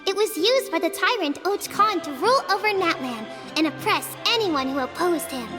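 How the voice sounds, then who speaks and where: A woman's voice: very high voice, long reverb tail. A woman voicing a young girl of a tribe in a game, telling an old legend in an earnest, matter-of-fact way. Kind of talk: game dialogue voice acting.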